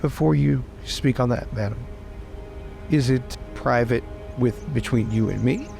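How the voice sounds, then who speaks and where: Guy player in a tabletop rpg, gravelly mid voice, kinda tentative, thinking out loud, music behind. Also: medium pitch, a little slow. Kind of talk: casual improvised roleplay. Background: background music.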